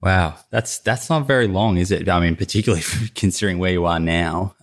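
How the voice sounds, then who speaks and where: A man's voice: sits low, brisk. A man with an Australian accent hosting a podcast from his apartment, asking friendly, curious questions. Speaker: podcast host. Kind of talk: casual podcast interview.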